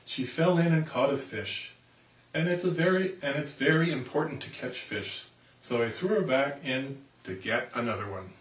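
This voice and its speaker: A man voicing a bossy, easily alarmed father in an animated children's storybook reading. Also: mid-range voice, normal speed, natural voice. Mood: defensive. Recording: roomy, distant microphone.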